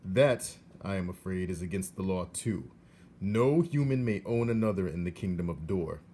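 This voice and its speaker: A dutiful storybook soldier of the king, as a man reads him, stern and formal. The voice is lowish and emphatic, with clear noise behind it. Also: animated, moderate pace, dark tone. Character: stern, dutiful king's soldier delivering royal orders. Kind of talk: storybook character reading.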